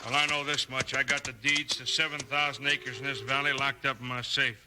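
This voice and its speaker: An actor playing a hard, scheming outlaw boss in a 1950s radio western, gruff and snapping out orders. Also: male voice, mid-range voice, level tone, brisk, natural voice. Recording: noisy 1950s radio broadcast recording.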